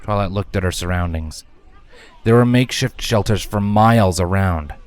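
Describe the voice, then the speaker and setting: A man narrates a fantasy story from a script. His voice sits fairly low as he tells the tale in the role of storyteller. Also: normal speed. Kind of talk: scripted fan fiction narration.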